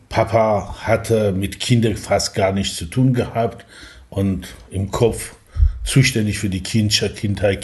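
Older man, low voice, halting plain speech, guest on a talk show. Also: warm tone. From Kurdistan, Iran. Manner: halting, plain-spoken storytelling. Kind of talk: casual conversation. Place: café terrace in Portugal.

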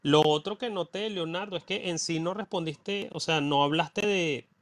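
A man hosting a relaxed podcast conversation, chatty and wandering off on side topics. His voice sits a bit higher than usual for a man. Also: normal speed. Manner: chatty, digressive, laughs easily. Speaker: podcast host. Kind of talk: casual podcast chat.

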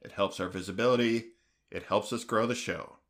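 A man co-hosting a podcast in casual chat, halting with fillers and restarts, then growing eager. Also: lowish voice, fairly even intonation, gravelly, a bit bright. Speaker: podcast co-host.

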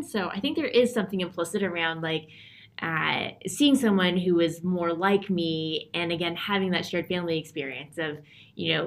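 A woman in her thirties, telling her story in a relaxed, reflective interview with many fillers. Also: fairly low pitch, gravelly. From Canada. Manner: thoughtful, frequent fillers and restarts. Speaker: interview guest.

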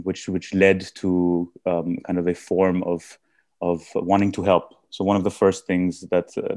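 A man from India, raised in Austria, answering podcast interview questions in a halting way with many fillers and restarts. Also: low voice, darkish tone, no echo. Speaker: guest.

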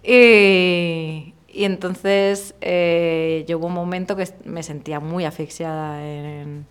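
Candid woman with softened Murcian accent. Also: lowish voice, slow pace, clean tone. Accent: softened Murcian Spanish. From Murcia, Spain. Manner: lively, candid, slangy, with many fillers. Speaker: podcast guest, illustrator. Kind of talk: casual conversational interview.

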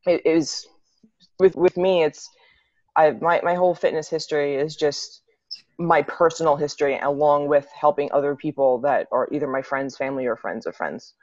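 A woman's voice: deep voice, fairly even intonation, moderate pace, a little mellow, no echo. A young adult woman, a city EMT and fitness coach, chatting casually and often restarting her sentences. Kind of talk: casual podcast conversation. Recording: dull lo-fi, dry sound.

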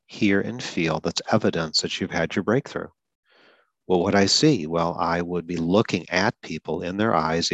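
A man who hosts a podcast for people who stutter, calmly talking through a goal-setting exercise with some small repetitions. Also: deep voice, dry recording. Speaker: podcast host and stuttering advocate. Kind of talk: solo podcast explanation.

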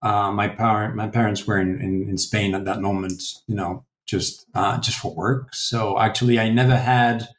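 Hesitant low-voiced man in an echoey room. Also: fairly even intonation, moderate pace, hardly any pauses. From France. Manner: hesitant, many fillers and restarts. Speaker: guest, translation company founder. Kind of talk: casual interview answers.

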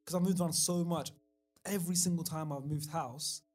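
A man, pitched a touch above the usual. He talks loosely and hesitantly as a podcast guest, circling back with fillers and restarts. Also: fairly even intonation, brisk, darkish tone, soft background music. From London, of Somali family. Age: 20s. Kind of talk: casual chat.

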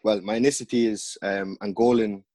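Low-voiced young man telling stories. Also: a little fast, dry recording. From Netherlands. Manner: relaxed storytelling, slangy with many fillers. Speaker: podcast guest, upcoming music artist. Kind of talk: casual podcast chat.

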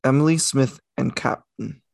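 A man's voice: medium pitch, normal speed, vocal fry, no echo, clean studio sound. A young man co-hosting a casual podcast, rambling and correcting himself as he talks. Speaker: podcast co-host. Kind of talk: casual chat.

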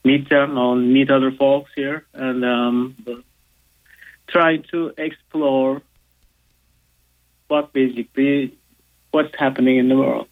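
Slow, hesitant Turkish-accented man. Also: medium pitch, little pitch movement, phone-quality sound. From Turkey. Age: 30s. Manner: hesitant, many filler sounds. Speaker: guest, economics professor. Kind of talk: casual interview.

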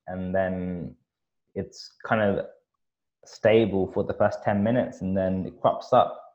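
A man with a very low voice talks through a podcast conversation, stopping and restarting often. He fills his gaps with ums and repeats words as he goes. The sound is dull and lo-fi. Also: darkish tone. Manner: halting, with many fillers and repetitions. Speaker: podcast guest. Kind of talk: casual conversation.

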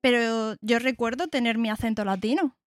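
Female podcast co-host, fairly high voice, relaxed chat, dry close sound. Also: moderate pace, no room sound. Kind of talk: casual interview chat.

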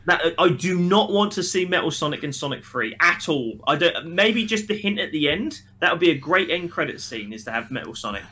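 A man's voice: a little high, sing-song, fairly quick, few pauses, bright, forceful tone, slightly rough. A man co-hosting a review podcast, chatting casually and making his points emphatically and argumentatively. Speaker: podcast co-host reviewing a film. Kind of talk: casual opinionated discussion.

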